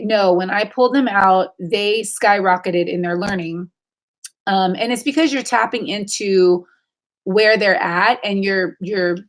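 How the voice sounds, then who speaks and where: Chatty woman in casual livestream chat. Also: mid-range voice. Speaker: livestream host. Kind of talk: casual chat, answering viewer questions.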